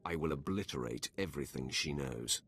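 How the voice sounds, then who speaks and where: A man's voice: extremely low pitch, animated, fairly quick, fairly bright tone, breathy edge, heavily acted. A man playing a cold, arrogant Sith warrior in a video game, with a haughty, menacing, terse delivery.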